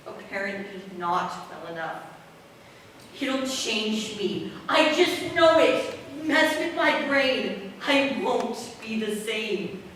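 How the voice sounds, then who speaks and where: In a very echoey hall, far from the mic, a young woman plays an upset, defensive teenage student on stage. She speaks slowly, with a bright, forceful voice. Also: on the high side, lively intonation, slight background noise. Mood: anxious. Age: teens or young adult. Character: distressed teenage student, defensive, feeling misunderstood. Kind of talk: school stage play acting.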